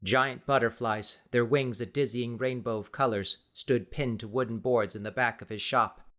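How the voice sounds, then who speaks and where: A man's voice: medium pitch, steady tone, normal speed, pushed and bright. A man reading scripted, dramatic story narration as an audiobook narrator.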